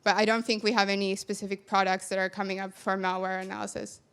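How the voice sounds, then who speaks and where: Young woman from Finland, conference speaker at a handheld mic, clear even voice, calm measured answers. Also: medium pitch. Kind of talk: answering audience questions. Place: large conference hall with audience. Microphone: handheld microphone.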